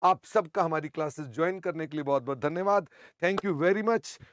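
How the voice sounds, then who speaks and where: An older man teaching accounting in an online class, hoarse, chatty and teasing, often clearing his throat. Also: fairly high pitch, normal speed, no echo, studio quality.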